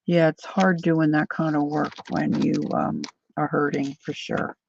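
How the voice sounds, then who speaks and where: An older woman chatting casually to viewers while she crafts, thinking out loud as she works. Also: low-pitched, flat delivery, unhurried, vocal fry, slight background noise. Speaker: craft livestreamer. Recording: dull lo-fi dry sound.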